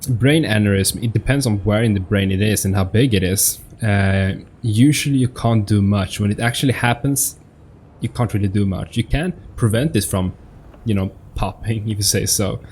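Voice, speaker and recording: Male let's-player, lowish voice, casual and halting commentary with faint game ambience behind. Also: darkish tone, a little hiss or hum. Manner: hesitant, searching for words, repeats himself. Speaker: gaming host, let's-player.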